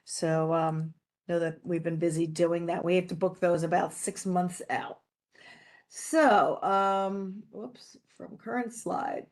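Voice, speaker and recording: A woman giving a quick update to an online meeting, chatty and upbeat in a loose, informal way. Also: on the low side, steady tone. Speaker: presenter.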